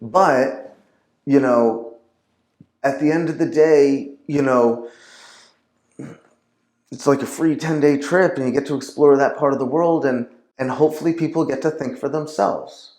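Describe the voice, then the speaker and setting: A man's voice: mid-range voice, unhurried, long pauses, darkish tone. A man speaking as a guest in a casual conversation, rambling and leaning heavily on fillers and restarts. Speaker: podcast guest. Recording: roomy echo.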